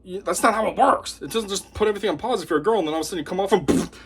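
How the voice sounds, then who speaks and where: A man giving an opinionated monologue to camera as a commentator, talking in a scornful, animated way. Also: high-pitched, racing, background noise. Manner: animated, scornful, mocks opponents in put-on voices. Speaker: YouTube commentator.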